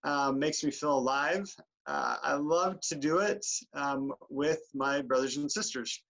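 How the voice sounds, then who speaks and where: A man's voice: on the high side, measured pace, creaky, a bit bright, rough recording. Joking man in his forties. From Idaho, USA. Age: 40s. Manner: joking, easygoing, some fillers. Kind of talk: casual team introduction.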